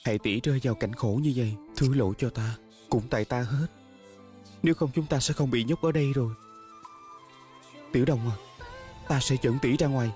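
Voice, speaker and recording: A man's voice: medium pitch, brisk, pauses often, warm tone, natural voice. A man dubbing a devoted, self-blaming young male spirit in a fantasy drama, speaking earnestly and solemnly. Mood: remorseful, sad. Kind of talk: dubbed fantasy drama acting. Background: music.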